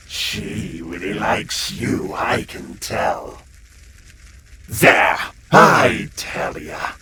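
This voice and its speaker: A man's voice: sits low, noisy background, heavily acted. A man playing a glib, sleazy demon guide of hell in a comic dub, full of slangy, theatrical sales patter. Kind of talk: comic-book dub voice acting. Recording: loud music under the voice. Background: prominent music.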